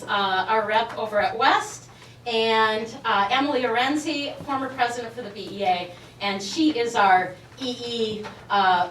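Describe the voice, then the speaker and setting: A woman's voice: on the high side, a bit bright, a little hiss or hum. A woman giving an enthusiastic address at a school board meeting, introducing her colleagues one by one. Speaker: teachers' union president. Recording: echoey room from far off.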